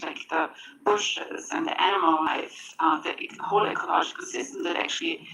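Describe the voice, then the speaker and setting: A woman speaking as a conference panelist, halting, with fillers and restarts. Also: mid-range voice, gravelly, fairly bright tone, a little hiss or hum, rough recording. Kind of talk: panel discussion. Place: conference stage.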